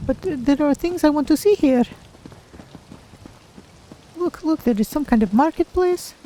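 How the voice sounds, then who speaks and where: A woman's voice: high-pitched, expressive, measured pace, dark tone, a little hiss or hum. A woman voicing a curious, naive cat-folk adventurer, musing aloud in careful, formal phrases as she plays a game. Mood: curious, excited. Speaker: streamer voicing own game character. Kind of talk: in-character game playthrough narration.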